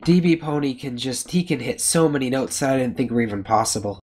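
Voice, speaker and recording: A chatty man talks easily as a podcast guest and pokes gentle fun at himself. His voice sits at an ordinary middle pitch, loose and conversational. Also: lively intonation, quick pace. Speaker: guest vocalist. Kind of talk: casual podcast chat.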